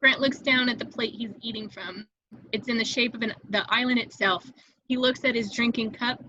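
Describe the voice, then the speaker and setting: A woman's voice: a little high, steady tone, normal speed, background noise. A woman reading stage directions as the narrator of a script table read, in a slightly dull, dry home recording.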